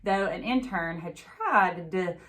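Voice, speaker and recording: Lower-pitched woman reading a novel aloud. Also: slow, fairly bright tone. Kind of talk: expressive storybook read-aloud narration.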